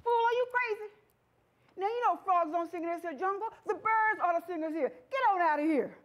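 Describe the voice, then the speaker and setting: Woman doing a brash, high-voiced frog pal. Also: sing-song, moderate pace. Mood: scornful. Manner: blunt, dismissive. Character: brash, mocking male frog friend.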